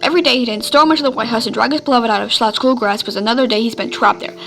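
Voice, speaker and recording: High-voiced woman reading fan fiction, music behind. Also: rapid-fire, fairly bright tone. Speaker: podfic reader.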